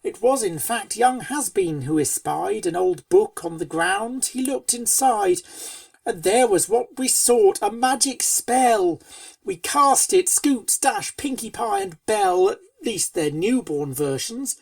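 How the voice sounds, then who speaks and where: A woman reading scripted storybook narration in rhyming verse, with a theatrical, measured cadence. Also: on the high side, very animated, vocal fry, no echo, far from the mic. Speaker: fan fiction reader and narrator.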